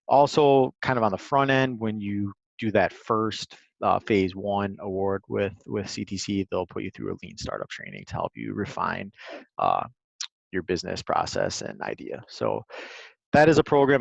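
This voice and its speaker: A man with a low, creaky voice presents informally on a webinar, as an official explaining programs. His phrasing is loose and conversational. The sound is dry and a little dull, like an online call. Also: dry recording. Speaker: presenter from a state economic development agency. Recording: slightly muffled, lo-fi online call.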